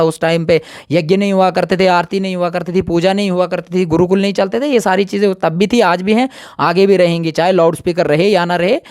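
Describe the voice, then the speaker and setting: A man's voice: sits high, darkish tone, no room sound, clean studio sound. A man giving his opinion in an impromptu interview, speaking emphatically and repeating his points. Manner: emphatic, repetitive.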